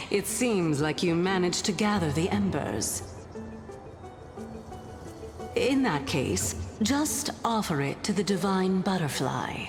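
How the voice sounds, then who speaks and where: A mysterious noble lady for a fantasy game. She speaks slowly in a lowish voice, composed and commanding, formal with a touch of condescension. Music plays clearly underneath. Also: animated. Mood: approving. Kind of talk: fantasy video game acting.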